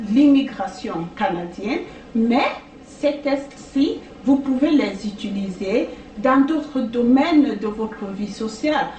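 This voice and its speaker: A French-speaking woman tutoring for language exams in a casual video, talking slowly with many hesitations and fillers. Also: a little high, a little mellow. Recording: echoey room, distant mic, faint noise.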